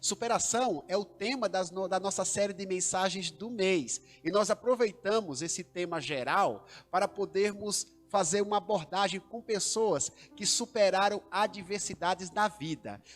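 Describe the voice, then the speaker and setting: A Brazilian pastor with a high voice hosts a church livestream, formal and preacher-like, building emphasis as he invites viewers in. Soft music plays faintly behind him. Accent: Brazilian Portuguese. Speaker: pastor and host.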